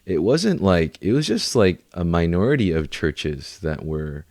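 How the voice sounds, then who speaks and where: A man's voice: deep voice, very animated, unhurried, darkish tone, no echo, clean studio sound. A man co-hosting a podcast, talking casually in informal, bro-ish slang. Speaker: podcast co-host.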